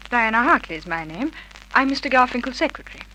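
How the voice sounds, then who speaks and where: Pert, lively young secretary. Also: female voice, fairly high pitch, fast talker, low-quality recording. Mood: polite. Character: pert, teasing, efficient young female secretary. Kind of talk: 1950s radio drama acting. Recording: old radio recording, faint noise.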